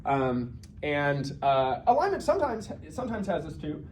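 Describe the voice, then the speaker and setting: A man's voice: on the high side, wildly swooping pitch, moderate pace, a little hiss or hum. A man giving an informal research talk, thinking aloud conversationally with self-corrections and asides.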